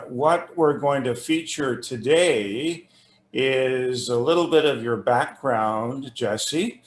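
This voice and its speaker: A man hosting an interview over an internet call, reading an introduction in a halting, rambling way. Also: mid-range voice. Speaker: host interviewing a musician. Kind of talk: conversational interview, introduction read from notes. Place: remote internet video call. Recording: roomy, echoing internet call audio.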